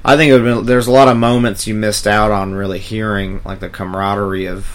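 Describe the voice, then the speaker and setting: A man chatting casually in a gravelly, creaky voice, with a hesitant, meandering delivery. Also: on the low side, flat delivery, fast talker. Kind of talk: casual rambling chat with frequent profanity.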